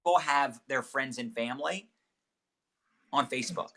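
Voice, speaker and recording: A man with a bright, forceful voice at an ordinary middle pitch, talking through marketing tips in an upbeat, chatty way. He sounds like a guest coach in a casual live interview, loose and full of fillers. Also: normal speed. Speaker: network marketing trainer, guest.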